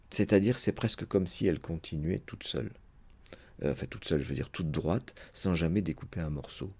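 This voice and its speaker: A man with a very low, dark voice talks through a psychoanalysis lecture as if thinking aloud. His delivery is hesitant, full of fillers and restarts. The tone is husky and mellow. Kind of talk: unscripted spoken lecture.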